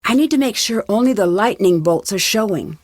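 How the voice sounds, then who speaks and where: A woman voicing a curious, determined young female detective in an adventure game, talking to herself in clear, purposeful scripted lines. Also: medium pitch, lively intonation, a little fast, no room sound, clean studio sound, close to an everyday voice. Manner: clear self-talk, inquisitive and purposeful. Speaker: game protagonist.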